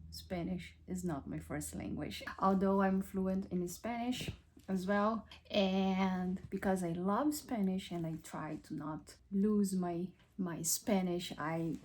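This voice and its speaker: A woman in her thirties whose first language is Portuguese, narrating a relaxed lifestyle vlog in a chatty way and restarting words now and then. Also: medium pitch, slight background noise. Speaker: lifestyle vlogger. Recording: soft background music.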